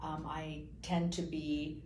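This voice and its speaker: A woman's voice: low-pitched, flat delivery, takes it slowly, darkish tone. A woman realtor speaking as a guest in a casual interview, reflective and often trailing off mid-sentence. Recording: echoey room with faint noise.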